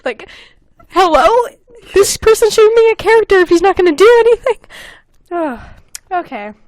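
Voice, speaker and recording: A woman's voice: very high-pitched, lively intonation, normal speed, a little mellow, no echo. A young woman hosting a casual comedy podcast, reading aloud and commenting in a giggly, self-mocking, exclamatory way. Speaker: podcast host. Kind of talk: casual comedic chat, reading own old fan fiction aloud.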